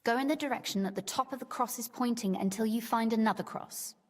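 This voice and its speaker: A woman's voice: on the high side, fast talker, lightly acted. An actress playing a young, curious noblewoman in a video game, speaking in a genteel, articulate and teasing manner. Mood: helpful explaining. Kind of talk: video game dialogue acting. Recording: long reverb tail, faint game music behind.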